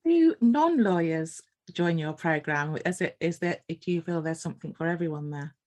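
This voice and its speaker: A woman's voice: low voice, lively intonation, moderate pace, darkish tone. Older woman hosting, thinking aloud. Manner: thoughtful, hesitant, thinking aloud. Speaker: podcast host, nurse. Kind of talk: conversational podcast interview.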